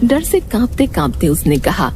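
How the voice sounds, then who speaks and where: A woman narrating a scripted story in an expressive storytelling manner. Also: mid-range voice, normal speed, soft background music.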